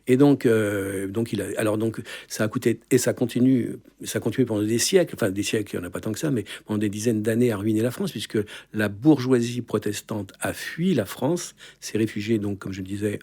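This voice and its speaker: A man's voice: fairly low pitch, brisk, few pauses, dark tone, slightly rough. A man co-presenting on a radio show, talking casually and drifting into asides while he hunts aloud for the right word. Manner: digressive, searching for words aloud.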